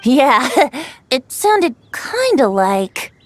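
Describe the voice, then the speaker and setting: A boyish, playful bard from a video game. The voice is high and breezy, with a lilting storyteller's delivery over soft music. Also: female voice, very animated, very slow, full character voice. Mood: light, amused. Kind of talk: video game character dialogue.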